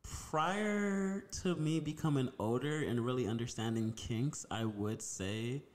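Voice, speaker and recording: A man's voice: mid-range voice, a little slow. A man born in Haiti, talking candidly and laughing now and then in a casual podcast chat. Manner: candid, laughs through his answers.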